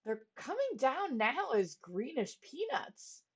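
A woman voicing an earnest, worried young page boy who calls out in a high, urgent voice. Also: very animated, slow pace, slightly rough, heavily acted. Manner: high, urgent, alarmed calling.

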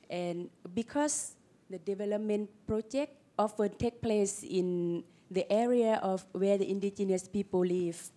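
Slow, mellow-voiced woman. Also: medium pitch. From Laos. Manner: slow and hesitant, with many fillers. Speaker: panelist, community worker. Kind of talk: conference panel answer.

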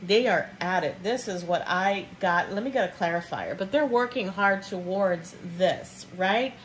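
A woman's voice: medium pitch, unhurried, slight background noise. Chatty sniffly woman reading tarot. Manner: chatty and affectionate, calls viewers babe and baby nugget. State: sniffly from sinus trouble. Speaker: tarot reader. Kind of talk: casual tarot reading to camera. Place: indoors at a table with cards on red cloth.

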